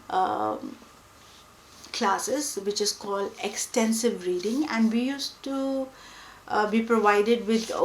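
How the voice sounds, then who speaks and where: A young woman whose first language is Hindi, talking reflectively and pausing often with fillers. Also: mid-range voice, a little hiss or hum. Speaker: book vlogger. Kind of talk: reflective personal talk to camera. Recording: echoey room with faint ambience.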